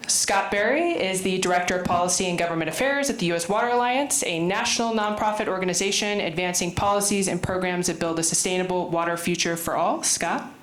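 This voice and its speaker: A woman moderating a conference panel, lively and playful, with a loud voice. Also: on the low side, brisk. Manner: lively, playful, talks loudly. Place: conference room. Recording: roomy echo, faint room noise.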